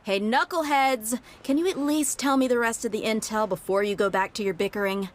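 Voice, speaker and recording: A woman's voice: on the high side, a little fast, natural voice. A woman acting a blunt, confident young female mercenary in a video game, with an easygoing, teasing way of talking. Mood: exasperated. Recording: music behind the voice.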